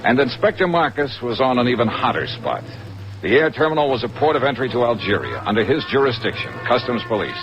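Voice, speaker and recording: A muffled old radio recording with music behind an actor as a wry American detective. His medium-pitched voice moves expressively as he narrates and trades sardonic, breezy wisecracks. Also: sing-song, fast talker, natural voice. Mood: dry, matter-of-fact. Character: wry, wisecracking American freelance insurance investigator. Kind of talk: old-time radio detective acting and first-person narration.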